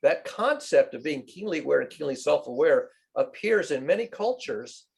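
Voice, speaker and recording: A man's voice: on the high side, expressive, normal speed, gravelly, a little mellow, husky. A man in a casual group discussion, explaining an idea expansively and at length. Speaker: meeting participant.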